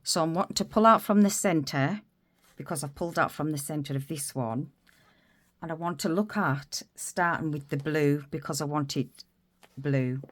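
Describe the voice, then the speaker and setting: A woman's voice: low voice, moderate pace. A woman giving a casual crochet tutorial, stuffy from a cold and chatty, wandering off mid-sentence. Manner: chatty, rambling, self-interrupting.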